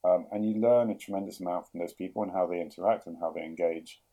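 A middle-aged man with a London accent, talking through his career in a podcast interview, often pausing with fillers and restarting sentences. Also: low voice, fairly even intonation, mellow. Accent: London English. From England. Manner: hesitant, many fillers and restarts. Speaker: guest, product executive.

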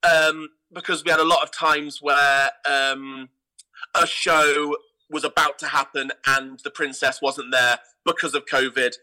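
A man talking as an interview guest, telling anecdotes in an animated, theatrical way. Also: on the high side, a little slow, poor audio. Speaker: guest, theatre producer and pantomime dame.

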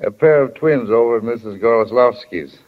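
A man's voice: medium pitch, brisk, lightly acted. An actor playing an easygoing, homespun small-town doctor and father in a 1940s radio drama. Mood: easygoing, dry. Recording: muffled, noisy 1940 radio broadcast.

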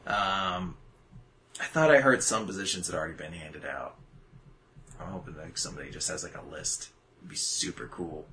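A man's voice: low-pitched, moderate pace, bright, forceful tone, a little hiss or hum. A man co-hosting a podcast, chatting casually and rambling through jokes and mock voices. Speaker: podcast co-host. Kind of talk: casual chat.

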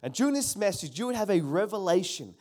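A man's voice: high-pitched, sing-song, quick pace, a little mellow. A middle-aged man preaching a sermon in an emphatic way, repeating his key phrases and calling on the congregation to answer. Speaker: pastor.

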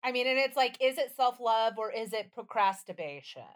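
A woman's voice: fairly high pitch, moderate pace. A woman co-hosting a podcast in casual banter, with a wry, quippy way of talking. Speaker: podcast co-host.

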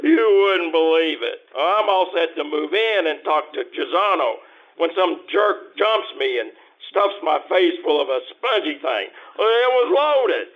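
Guy doing a slick little crook from an old radio play, kinda high voice, talks fast n slangy, muffled sound. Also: animated. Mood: sly. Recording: muffled lo-fi recording.

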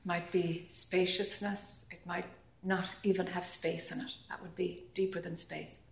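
A woman's voice: on the low side, vocal fry. Woman guiding meditation in an echoey room. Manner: calm, gentle, short phrases with long pauses. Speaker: meditation teacher. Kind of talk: guided meditation.

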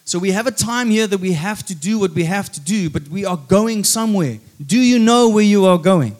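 A man's voice: sits high, animated, moderate pace, a little mellow. A man preaching a sermon in an emphatic way, repeating key phrases and putting teasing rhetorical questions to his congregation.

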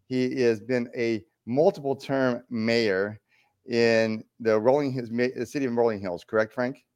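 Male host, animated, enthusiastic interviewer with an ordinary mid-range voice. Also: medium pitch, measured pace, clear, resonant tone. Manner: enthusiastic, apologetic, eager questions. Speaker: podcast host. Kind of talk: interview hosting.

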